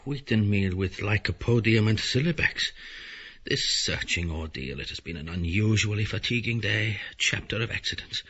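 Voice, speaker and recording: Male actor as weary, hesitant middle-aged man: low-leaning, husky gravel, reflective, halting, dramatised audiobook, muffled sound. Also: animated, pushed and bright, exaggerated voice. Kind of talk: theatrical audiobook acting of a surreal stage play.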